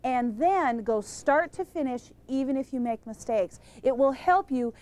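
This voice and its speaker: A woman giving an energetic lesson on public speaking to a live group, emphatic and quizzing the room. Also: high-pitched, unhurried. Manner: energetic, emphatic, asks questions of the audience. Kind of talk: instructional talk to a live group.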